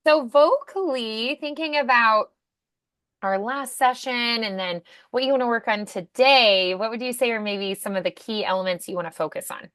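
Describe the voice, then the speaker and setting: A woman's voice: on the high side, moderate pace, fairly bright tone, no room sound, clean studio sound. A woman coaching a student in a casual one-on-one conversation, with an encouraging, affirming manner. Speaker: voice acting coach.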